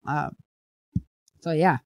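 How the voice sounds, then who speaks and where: A woman speaks as a podcast host at a slow, unhurried pace, in a close, dry recording. Her delivery is informal and slightly halting, and she leans on words for emphasis. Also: darkish tone, no echo. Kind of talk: casual podcast chat.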